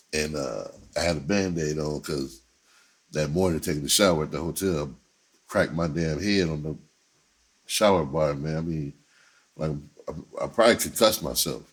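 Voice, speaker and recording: A man with a low, husky voice talks casually, as if telling a personal story on his own channel. His delivery is conversational and streetwise, loaded with slang and swearing. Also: moderate pace, long pauses.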